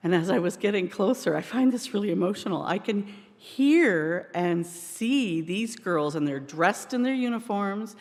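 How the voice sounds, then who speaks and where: A worship leader speaking to a congregation in an informal, expressive way during a church service. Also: medium pitch, moderate pace, hardly any pauses. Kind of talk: church service address, prayer and personal anecdote. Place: indoors in a church. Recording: reverberant church hall.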